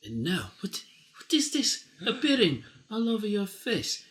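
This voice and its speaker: A man playing an excitable, bossy woman chef in an improvised comedic radio play, with theatrical delivery. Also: sits high, a little slow, exaggerated voice. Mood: indignant.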